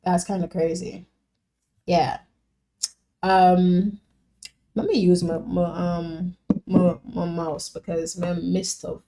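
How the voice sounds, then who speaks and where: A woman's voice: fairly low pitch, flat delivery, slow, long pauses, dark tone. A young woman from Jamaica chatting casually on a live stream, reading viewers' comments and answering them by name with lots of fillers.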